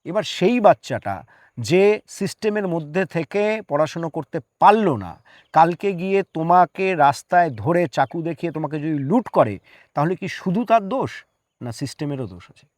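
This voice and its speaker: Guy, pretty high voice, emphatic opinions, asks rhetorical questions, dry sound. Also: very animated, moderate pace, no echo. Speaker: interview guest, journalist. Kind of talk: earnest opinionated conversation.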